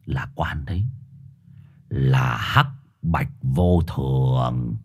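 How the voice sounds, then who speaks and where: A man putting on a deep gruff old street dog. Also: big pitch swings, normal speed, heavily acted. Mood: hushed, lecturing. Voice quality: gruff, husky. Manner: coarse, swearing, lecturing.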